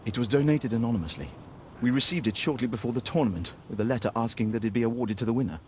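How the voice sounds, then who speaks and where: An actor playing an earnest, upper-class Victorian club gentleman in a video game, British, hesitant and formal. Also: mid-range voice, lively intonation, rapid-fire, a little hiss or hum, natural voice. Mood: formal, guarded. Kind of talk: scripted video game acting.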